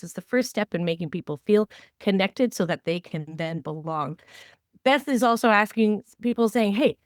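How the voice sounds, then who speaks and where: A woman with a fairly low voice talks as a podcast co-host, recorded very dry with hardly any room sound. She gives advice conversationally, with enthusiasm and emphasis, slipping into quoted remarks as she explains. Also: dry recording.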